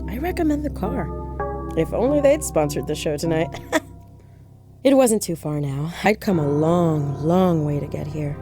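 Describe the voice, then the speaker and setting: A haunted woman in a horror audio drama, her voice on the low side. She confides eerie, unraveling memories straight to the listener in a dramatic monologue. Music plays clearly behind her. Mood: wry, then resolute, intense.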